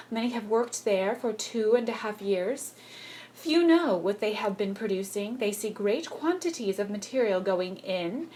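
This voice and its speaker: A woman reading a historical political speech aloud in a solemn, declamatory, deliberate manner, like an actress delivering a formal address. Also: a little high, slight background noise.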